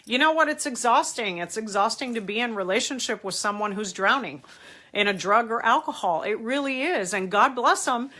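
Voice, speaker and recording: A woman's voice: on the high side, expressive, moderate pace, pushed and bright. A middle-aged woman with a cold and a stuffy voice, earnestly giving advice on a live stream and answering viewers' comments. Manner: earnest, emphatic, reads comments aloud. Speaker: host answering viewer questions.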